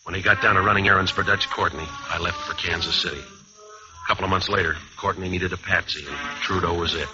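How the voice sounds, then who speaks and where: A low, deadpan American voice from a male actor plays a world-weary male jazz musician in a hardboiled radio drama. He is wry and sparing with words. The old recording is dull, with music behind him. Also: brisk, natural voice. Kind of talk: vintage American radio noir narration and dialogue.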